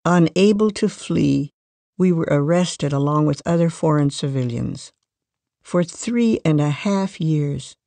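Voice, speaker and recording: A gravelly voice reads a first-person life story at a measured, even pace. The sound is close and dry, with no room around it. The delivery stays scripted and steady throughout. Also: mid-range voice, no echo. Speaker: reader of a magazine life story.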